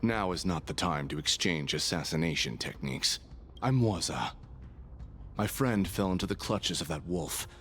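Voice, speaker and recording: A male actor playing a cold, world-weary assassin bodyguard in a video game, speaking in a blunt, deadpan way. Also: low-pitched, moderate pace. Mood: matter-of-fact. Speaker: character voice actor. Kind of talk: video game story dialogue. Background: background music.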